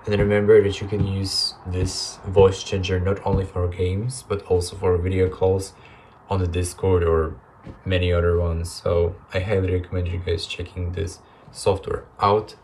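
A young man hosting a software tutorial in a vlog style, talking to camera indoors. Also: low-pitched, flat delivery, moderate pace, slight background noise. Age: young adult. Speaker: software demo host. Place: small indoor attic room at home.

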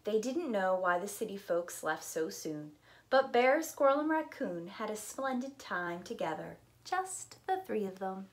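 A middle-aged woman reading a picture book aloud as a preschool teacher, deliberate and expressive. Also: medium pitch, a little hiss or hum. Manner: slow, deliberate, animated storyteller delivery. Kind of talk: expressive storytime read-aloud. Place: small indoor room with bookshelf.

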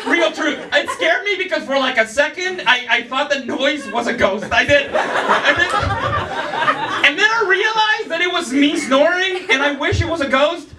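A man from Mexico with a Mexican Spanish accent, doing an animated stand-up set on a small club stage. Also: extremely high pitch, moderate pace, echoey room, a crowd in the background. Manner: animated, acts out voices of others. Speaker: stand-up comedian. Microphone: handheld mic. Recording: club audience laughing, mic heard from a distance.

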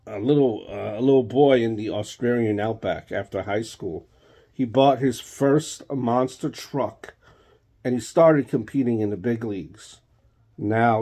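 A man's voice: medium pitch, unhurried, mellow, husky. A man in his thirties, a father reading a children's book aloud at bedtime. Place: small child's bedroom. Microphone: phone held close.

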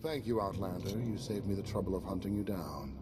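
Theatrical, menacing cult leader, wide-swinging pitch. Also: male voice, animated, heavy background noise. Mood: cold disdain. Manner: theatrical, imperious, deliberate. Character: fanatical, menacing male cult leader of a gang. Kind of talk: video game cutscene acting.

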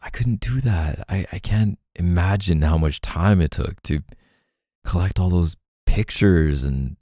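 A man performs a doting, apologetic boyfriend in an ASMR roleplay. His very deep voice is mellow and unhurried, with a tender, halting delivery close to the microphone. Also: no room sound. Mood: tender, reassuring. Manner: tender, halting, sighing between phrases.

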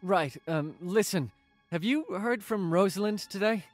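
A man acts out an anxious boyfriend in an audio drama. He speaks slowly and haltingly, full of hesitant worry, with music underneath. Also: high-pitched, animated. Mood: worried, hesitant. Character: worried, hesitant boyfriend searching for missing girlfriend.

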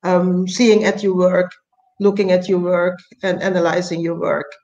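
An older woman from Curaçao hosting an interview over a video call, speaking hesitantly with many pauses and rephrasings. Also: lowish voice, flat delivery, darkish tone. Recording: dull lo-fi call audio.

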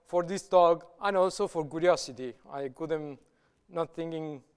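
A middle-aged man from Argentina with a strong Argentinian Spanish accent, giving a public lecture at a podium microphone in a large hall, with halting restarts. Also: fairly high pitch, a little mellow, smooth. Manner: halting, with frequent restarts and gestures. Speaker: guest lecturer. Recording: reverberant hall.

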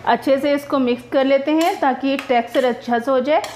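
A woman's voice: high voice, a little fast, a little mellow, a little hiss or hum. A woman narrating her own cooking video step by step, chatty and homely, explaining each step as she cooks in her home kitchen. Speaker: cooking vlogger.

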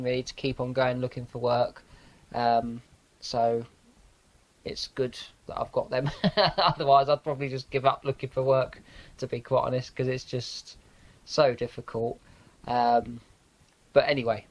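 An English man gives a casual sit-down update to camera. His voice sits at a middling pitch and his delivery is low-key and candid. He is recorded on a lapel mic in a small indoor cabin. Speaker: boat life vlogger.

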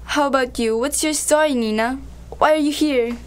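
Woman acting high-voiced, steadfast young prisoner. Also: moderate pace, close to an everyday voice. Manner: earnest. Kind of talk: amateur student radio drama acting.

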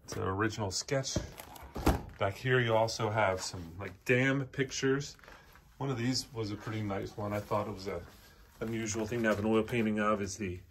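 A man gives an unscripted walkthrough as a presenter, pointing things out in short, halting phrases as he moves around indoors. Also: fairly low pitch, steady tone, background noise. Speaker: auction house presenter. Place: indoor antiques showroom, walking around.

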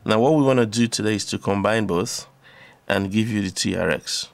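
A man presenting an unscripted electronics tutorial, explaining step by step in a halting way. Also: fairly low pitch. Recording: clearly audible background noise.

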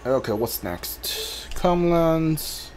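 A man's voice: a little high, expressive, drawn-out words, a little mellow. A man giving casual playthrough commentary as a video game streamer, muttering to himself and talking back to the game.

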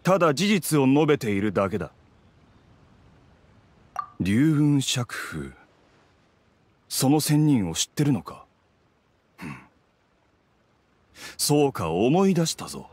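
An actor playing an arrogant, blunt young scholar in a Japanese video game, with a haughty, condescending manner. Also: male voice, medium pitch, sing-song, takes it slowly, pauses often, a little mellow, no echo. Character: arrogant, conceited scholarly male candidate, dismissive and blunt.

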